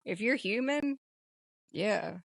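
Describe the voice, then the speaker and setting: Female podcast co-host, casual and informal with emphatic delivery, average pitch, very little room sound. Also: lively intonation, slow pace, gravelly, no echo. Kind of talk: casual movie discussion.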